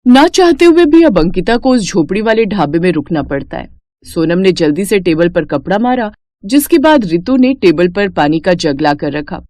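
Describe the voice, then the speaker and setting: Woman narrator, cartoon moral tale, scripted storytelling, lively intonation, dry studio-like sound. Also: on the low side, moderate pace, dry recording.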